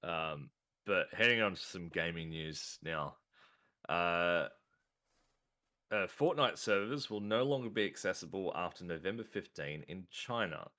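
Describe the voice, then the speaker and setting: A man's voice: sits low, expressive, unhurried, bright, forceful tone. A man hosting a casual podcast, reading news items with many hesitant fillers.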